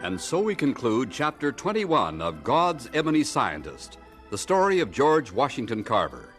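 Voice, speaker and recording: A man's voice: mid-range voice, wildly swooping pitch, moderate pace. American guy announcer, formal, really swoopy delivery, music behind. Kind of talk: scripted radio sign-off.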